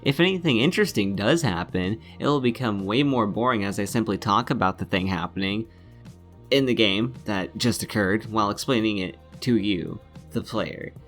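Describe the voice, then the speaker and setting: A man's voice: fairly low pitch, moderate pace, fairly bright tone, soft background music. A man delivering scripted game review commentary in a scornful, sardonic manner, swearing freely.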